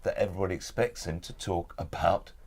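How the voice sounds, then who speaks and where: Deep-voiced middle-aged man, thoughtful. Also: darkish tone, slightly rough. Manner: thoughtful, halting, repeats words as he restarts. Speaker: audiobook narrator and guest. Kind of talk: casual interview chat. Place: recording studio.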